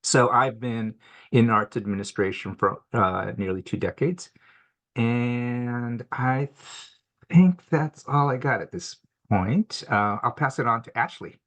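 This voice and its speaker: A middle-aged man, born in Mexico and raised in Washington State, a program manager introducing himself on a video call from an office, relaxed and halting with many fillers. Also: medium pitch. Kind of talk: webinar self-introduction. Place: office at home or work. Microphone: computer mic on a video call.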